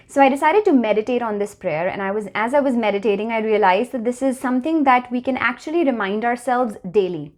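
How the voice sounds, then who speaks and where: A woman in her thirties from India with an Indian accent, hosting her own channel and talking earnestly and reflectively to the camera. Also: on the high side. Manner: earnest, measured, slows to stress key phrases. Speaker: channel host. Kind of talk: reflective personal talk to camera.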